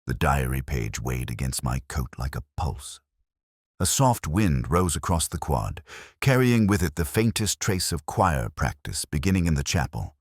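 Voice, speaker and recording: A weary, contemplative male inspector played by a man narrates a detective story in a very deep voice. The delivery is solemn, literary and measured, yet the pitch moves expressively. The recording is dry, with no room around the voice. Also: very animated, husky, dry recording, heavily acted. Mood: brooding, solemn. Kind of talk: scripted first-person detective narration.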